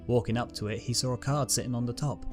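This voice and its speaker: A man narrating a fan-written pony story in a calm, steady reading voice. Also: medium pitch, fast. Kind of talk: fanfic audiobook reading. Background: guitar music.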